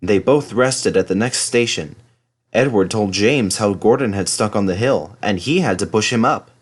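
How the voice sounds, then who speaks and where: A man's voice: fairly low pitch, normal speed. A man reading a scripted children's story aloud as narrator. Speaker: storybook reader.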